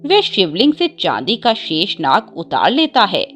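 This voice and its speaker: A woman's voice: medium pitch, huge pitch swings, measured pace, a bit bright, soft background music. A woman narrating a scripted devotional story as a storyteller.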